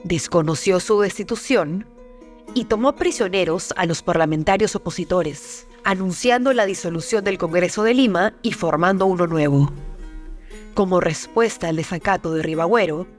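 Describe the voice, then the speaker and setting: A woman giving scripted documentary narration in a measured, formal, dramatic manner. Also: on the low side. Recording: music behind the narration.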